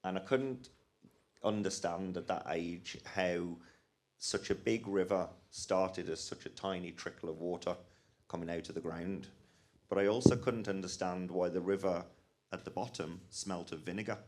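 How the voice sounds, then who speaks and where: A man's voice: low-pitched, steady tone, normal speed, a little mellow. A man from the West Midlands with a West Midlands English accent, telling a conference panel about his rivers work in an earnest, passionate way. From West Midlands, England. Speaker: panel speaker, rivers trust worker. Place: conference hall.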